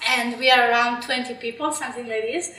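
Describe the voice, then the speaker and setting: A woman's voice: a little high, steady tone, a little fast, pushed and bright. A young woman with a Slovenian accent, answering interview questions in English with many fillers and restarts. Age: young adult. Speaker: interviewee, PhD candidate. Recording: echoey room, mic far off.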